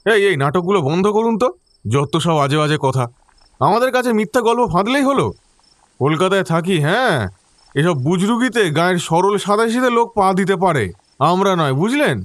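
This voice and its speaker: Sceptical, irritable urban office worker, male actor; high voice, dry studio sound, emphatic and contemptuous, for audio drama. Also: animated, normal speed, dry recording. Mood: scornful, irritated. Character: scornful, sceptical young city office worker.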